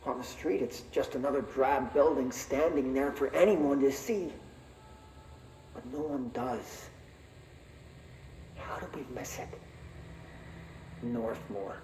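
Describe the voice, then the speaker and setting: A man's voice: mid-range voice, takes it slowly, pauses often, a little mellow. A man voicing an anxious, bitter, bookish researcher, brooding and self-deprecating on a taped log in a video game. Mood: brooding, awed, then tense, weary. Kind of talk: dramatic audio-log monologue in a video game. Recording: echoey, distant, noisy tape recording.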